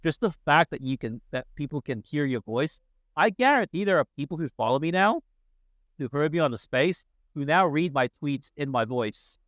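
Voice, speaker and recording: A man's voice: fairly high pitch, expressive, moderate pace, a bit bright, dry recording. A man, a guest on a podcast, talking enthusiastically in casual conversation, with lots of fillers and restarts.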